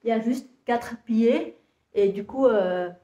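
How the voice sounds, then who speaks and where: A woman in her thirties from Vietnam, speaking French with a Vietnamese accent, describing her compost business to camera and often pausing on fillers and restarts. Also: fairly high pitch, dark tone, reverberant. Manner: hesitant, many fillers and restarts. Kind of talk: casual talk to camera, halting.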